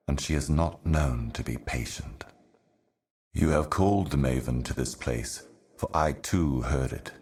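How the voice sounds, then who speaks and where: An actor playing an ominous, ancient otherworldly herald in a video game, speaking in solemn, cryptic, deliberate monologue. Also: male voice, extremely low pitch. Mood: grave warning. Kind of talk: scripted video game voice acting, slow monologue.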